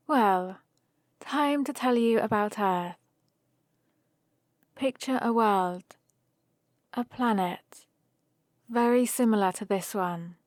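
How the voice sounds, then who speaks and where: A woman's voice: mid-range voice, a little mellow, no echo, clean studio sound, close to an everyday voice. A woman acting a playful, fond young hero from another world in an intimate ASMR roleplay, teasing and talking straight to the listener. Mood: wistful, relaxed. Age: young adult. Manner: teasing, unhurried, intimate one-sided conversation. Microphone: close studio-style microphone, dry.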